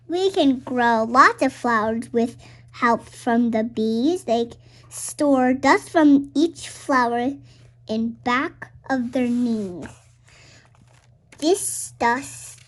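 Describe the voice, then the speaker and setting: A woman's voice: high voice, fairly bright tone. A young girl reading a children's picture book aloud, now and then stumbling over words and repeating them. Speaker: read-aloud show host.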